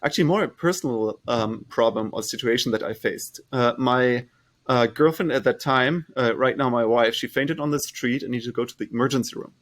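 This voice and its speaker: A man from Germany with a German accent, answering interview questions as a startup founder, measured, with frequent fillers and restarts. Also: mid-range voice.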